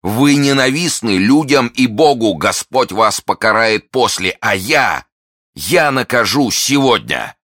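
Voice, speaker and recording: A man's voice: low-pitched, expressive, a little fast, hardly any pauses, sharp tone, no room sound, clean studio sound. A man voicing a fanatical officer in a storybook reading, heated, solemn and insistent, full of righteous accusation. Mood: righteous fury.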